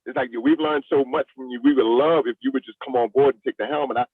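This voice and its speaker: A man's voice: medium pitch, steady tone, fast talker, husky, no echo. A man gives a casual interview as a stage director and actor. He speaks with enthusiasm and likes to repeat a phrase for emphasis. The sound is narrow, like a phone call, with very little room in it. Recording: thin phone-like call audio.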